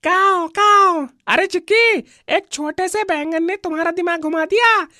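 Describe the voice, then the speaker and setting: Woman as a shrill, jeering cartoon crow. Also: bright, forceful tone, no echo, studio quality, full character voice. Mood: mocking. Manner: jeering, cawing, drawn-out. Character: loud mocking male crow in an animal tale. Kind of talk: children's cartoon voice acting.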